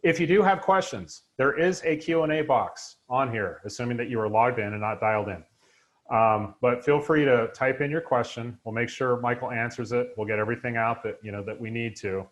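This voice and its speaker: A man's voice: mid-range voice, brisk. A man in his forties hosting a live webinar over a video call, upbeat and full of fillers. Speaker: host. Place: home room indoors, video call.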